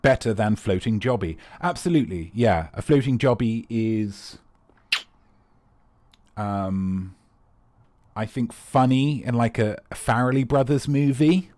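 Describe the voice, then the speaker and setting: A man's voice: medium pitch, measured pace, darkish tone, no room sound. A man hosting a live comedy quiz, rambling and playful with teasing asides to the players. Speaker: quiz host.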